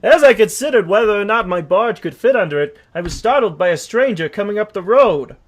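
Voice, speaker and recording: High-pitched theatrical talking horse. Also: male voice, animated, a little fast. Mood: dramatic storytelling. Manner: theatrical, folksy old-fashioned turns of phrase. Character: self-pitying talking horse, eager cheerful rogue wannabe. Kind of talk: comic comic-book fandub reading with storytelling flourishes.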